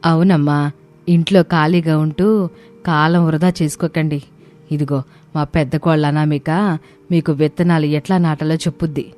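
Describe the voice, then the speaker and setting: A kindly older mother-in-law from a village tale, put on by a woman. She speaks encouragingly in a low, dark-toned voice over music. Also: fairly even intonation, normal speed, long pauses, natural voice. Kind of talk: storybook dialogue.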